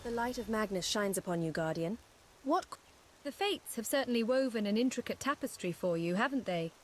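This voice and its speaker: A woman voicing a courteous, earnest young mage companion in a fantasy game, speaking formally and gently. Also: mid-range voice, lively intonation, a little hiss or hum, natural voice. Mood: thoughtful, gentle. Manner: formal, eloquent, gentle, measured. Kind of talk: scripted fantasy game dialogue.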